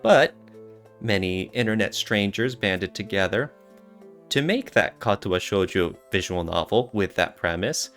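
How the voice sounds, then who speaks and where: A man's voice: lowish voice, animated, measured pace, a bit bright, soft background music. A man talking casually and explaining things as a podcast co-host.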